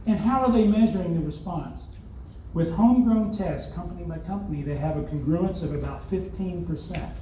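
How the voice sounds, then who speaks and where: A man's voice: high-pitched, moderate pace, a little hiss or hum. An older man from Arkansas with a Southern accent, giving a conference keynote with rhetorical questions and wry asides, recorded from the audience in a large hotel hall. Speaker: keynote speaker. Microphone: far phone camera in audience. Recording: distant echoey hall, dull audience phone recording.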